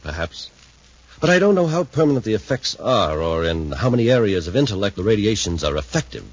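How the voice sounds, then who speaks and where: An actor playing an earnest, guarded scientist in a 1950s radio drama. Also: male voice, on the low side, sing-song, fairly quick, slightly rough, exaggerated voice. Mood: hesitant, intent. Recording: dull 1950s radio recording.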